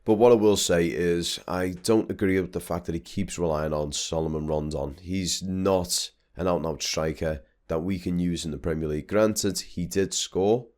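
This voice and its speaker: Low-voiced young man chatting. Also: steady tone, moderate pace, few pauses, a little mellow. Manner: chatty, rambling with restarts. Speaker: vlogger. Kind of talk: casual talk over drone footage.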